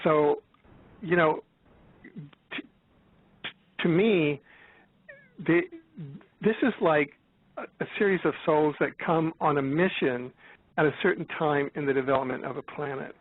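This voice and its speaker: An American man of seventy talking in a rambling, halting way in an interview, often restarting and repeating his words. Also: a little high, no room sound. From United States, born in Florida. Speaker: guest, author and researcher. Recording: tinny phone-like line, dry.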